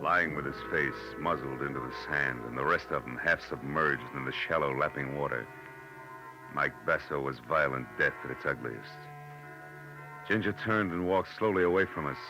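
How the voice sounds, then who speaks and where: An actor playing a tough, wry private detective in 1940s radio noir style, with a clipped, slangy American delivery. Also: male voice, rumbling low, poor audio. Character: tough, wry hard-boiled private detective narrating his case. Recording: old 1940s radio broadcast recording.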